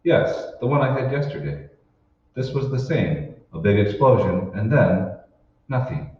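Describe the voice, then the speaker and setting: Casting: male actor as rattled office husband, radio-play style, lively low-leaning pitch, muffled echoey sound. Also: a little slow, natural voice. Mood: shaken, confused. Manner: hesitant, bewildered, exclamatory. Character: puzzled, irritable married office man in sci-fi radio play. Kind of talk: old-time radio drama acting. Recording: roomy, muffled, distant stage recording with noise.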